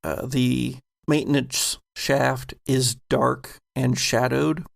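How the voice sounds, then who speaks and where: A man narrating a horror sci-fi tabletop game as game master, in a deliberate, descriptive way. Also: medium pitch, level tone, vocal fry.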